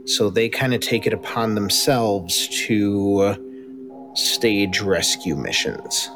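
A man voicing a weary, guarded older woman survivor who answers in halting, hesitant bursts. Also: on the low side, over music.

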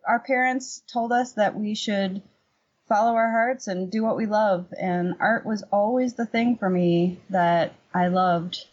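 A woman's voice: medium pitch, a little slow, breathy edge. A woman from Texas talking casually as an interview guest, in a conversational, anecdotal way. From Texas, United States. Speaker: podcast guest, painter. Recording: dull, lo-fi remote-sounding audio.

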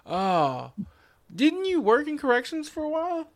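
Gravelly-voiced man in his forties. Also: very high voice, huge pitch swings, normal speed, no room sound. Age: 40s. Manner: rambling, frequent restarts and wry asides. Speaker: podcast host. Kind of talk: casual podcast chat.

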